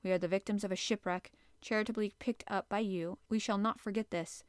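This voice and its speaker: A woman's voice: mid-range voice, steady tone, fairly quick, smooth, dry recording, natural voice. A woman voicing a courteous professor, formal and earnest. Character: earnest male professor and scientist, polite and formal.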